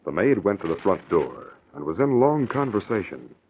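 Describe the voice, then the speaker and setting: A man's voice: sits low, big pitch swings, normal speed. A man narrating a 1940s radio drama in a dramatic, declamatory announcer's style. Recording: muffled old radio broadcast, faint noise.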